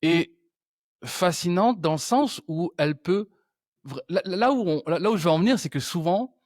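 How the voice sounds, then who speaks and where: A man's voice: sits high, lively intonation, moderate pace, a little mellow, dry recording, studio quality. A man hosting a conversational interview, thinking aloud and circling back with frequent restarts. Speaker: podcast host.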